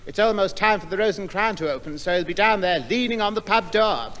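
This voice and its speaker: A man playing a timid, fussy, well-meaning gentleman who hesitates and stammers, in a 1960s radio comedy. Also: high voice, brisk. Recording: hissy old radio broadcast.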